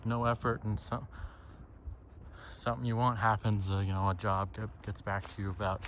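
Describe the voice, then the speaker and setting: A man thinking aloud in a halting, casual, reflective monologue while walking outside on a trail. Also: on the low side, level tone, a bit bright. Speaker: solo vlogger. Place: outdoors, walking on a trail by a lake. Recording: outdoor ambient noise.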